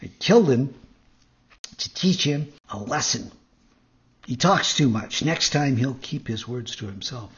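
A man's voice: mid-range voice, lively intonation, unhurried, pauses often, slightly rough, highs cut off. A man voicing a sly, callous cat who feigns blindness, in a storybook reading.

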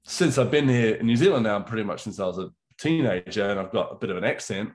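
A man's voice: lowish voice, expressive, fast. A middle-aged man raised in England, talking eagerly as a guest in a casual chat. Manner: chatty, enthusiastic storytelling.

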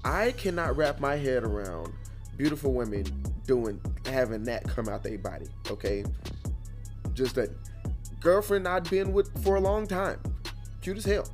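A podcast host, slightly hoarse, talking in an animated, joking way. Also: male voice, medium pitch, measured pace, over music. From Houston, Texas. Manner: animated, laughing storyteller. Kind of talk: casual chat.

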